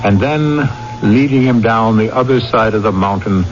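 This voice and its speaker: A man hosting a 1970s radio mystery, narrating in a measured, ominous storyteller's manner. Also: fairly low pitch, slight background noise. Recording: muffled vintage radio recording with music.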